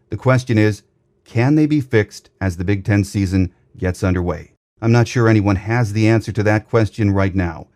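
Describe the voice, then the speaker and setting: A man hosting a sports podcast, delivering a scripted commentary monologue in a measured, orderly way. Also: on the low side, darkish tone. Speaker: podcast host.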